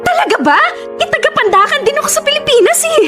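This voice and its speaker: An actress playing a bubbly, teasing, lovestruck young Filipina office worker, with playful gushing Taglish banter in a radio drama. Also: female voice, extremely high pitch, sing-song, quick pace. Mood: teasing, excited. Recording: music playing behind the voice. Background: music.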